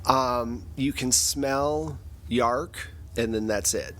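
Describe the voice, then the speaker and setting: A man's voice: mid-range voice, extremely slow, a bit bright, slight background noise. A man narrating a sci-fi tabletop game as game master, describing scenes and asking the players what they do. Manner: descriptive, prompting players with questions.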